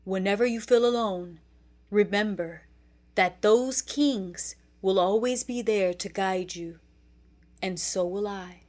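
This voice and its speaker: A woman's voice: mid-range voice, slow, darkish tone, close to an everyday voice. A woman voicing a solemn, protective male lion king and father in a storybook. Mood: tender, solemn.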